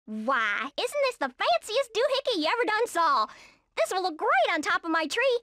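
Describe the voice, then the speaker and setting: High, brash Texan cartoon squirrel gal. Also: female voice, sing-song, normal speed, over music. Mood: friendly, folksy. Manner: folksy, brash, twangy drawl. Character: feisty, sporty Texan cartoon squirrel woman. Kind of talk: animated video game voice acting.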